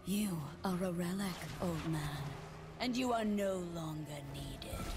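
Slow, imperious female death mage. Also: fairly low pitch, music in the background. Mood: haughty defiance. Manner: haughty, menacing, deliberate. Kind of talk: dramatic fantasy game acting.

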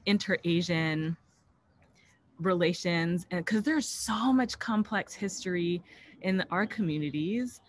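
Woman in her 30s, earnest, chatty with lots of "like", webcam outdoors, faint noise behind her. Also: fairly low pitch. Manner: earnest, fast-flowing with many likes. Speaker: panelist author. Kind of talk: casual panel discussion. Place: backyard outdoors, video call. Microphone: webcam on video call.

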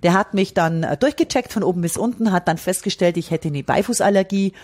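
A woman's voice: on the low side, fairly quick, a little mellow. A middle-aged woman, explaining from experience as an interview guest, often using questions and quoted dialogue. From Bavaria, Germany. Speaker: podcast guest, herbalist.

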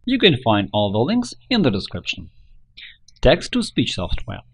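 A man narrates a scripted how-to tutorial as its presenter. His pitch moves up and down in a very animated way as he explains each step. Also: moderate pace, breathy edge.

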